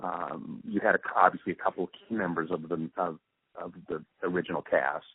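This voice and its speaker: Deep, husky older man on phone line. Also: fairly even intonation, dry recording. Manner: halting, thoughtful, pausing mid-sentence. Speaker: radio drama sound designer and producer being interviewed. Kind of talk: reflective interview talk. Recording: muffled phone-like narrowband line.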